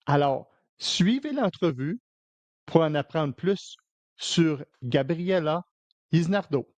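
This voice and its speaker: A man's voice: a little high, lively intonation, slow, darkish tone, no room sound. A man hosting a podcast interview in casual Québécois French, hesitant, with many fillers and restarts. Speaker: podcast host.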